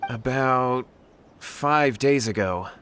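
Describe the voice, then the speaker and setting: An actor playing an earnest, anxious young martial artist in a video game, polite and hesitant. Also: male voice, medium pitch, takes it slowly, a bit bright, slight background noise. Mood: worried.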